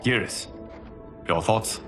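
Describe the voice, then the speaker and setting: An actor playing a noble, earnest protector and former keeper of time in a video game, with a formal, grave, dignified delivery. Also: male voice, slow pace, a bit bright, faint music behind. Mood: grave, questioning. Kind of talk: cinematic video game acting.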